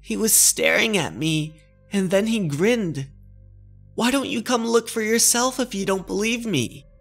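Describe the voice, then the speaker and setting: A frightened teenage girl performed by a man for a horror manga dub. The voice sits high above the performer's own and sounds breathy, anxious and pleading. Faint music plays behind. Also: a little slow, a bit bright, heavily acted. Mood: scared, pleading.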